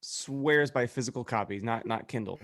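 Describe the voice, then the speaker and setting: A man's voice: medium pitch, normal speed, a bit bright, dry recording, clean studio sound. A man co-hosting a podcast in casual, conversational talk. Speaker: podcast co-host. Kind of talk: casual group chat.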